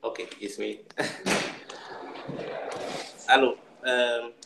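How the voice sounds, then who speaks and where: Man, Cameroonian accent, halting slow speech, noisy echoey room. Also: mid-range voice, flat delivery, gravelly. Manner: halting, restarts phrases. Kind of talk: unscripted self-introduction. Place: high-ceilinged indoor room. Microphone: shared device on a group video call.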